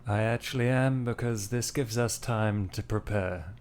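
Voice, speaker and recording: Man, tabletop player, low pitch, very slow pace, deliberate in-character delivery. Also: a little mellow, clear, resonant tone. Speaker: player in a tabletop roleplaying game. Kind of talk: in-character roleplay.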